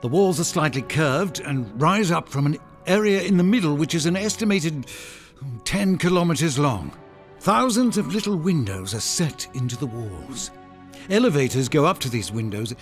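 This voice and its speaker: An older male professor exploring space in an audio drama. His voice is fairly high and very expressive, fussy and full of scholarly wonder, with soft music behind. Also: normal speed. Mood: awed, observing. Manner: descriptive, marvelling, dictating observations. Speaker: actor in a sci-fi audio drama.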